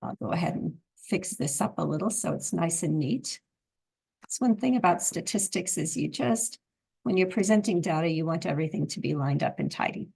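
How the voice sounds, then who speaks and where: A woman instructor with a froggy, hoarse voice, calmly explaining a software tutorial step by step. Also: on the low side, moderate pace, rough recording. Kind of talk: screen-recorded software tutorial.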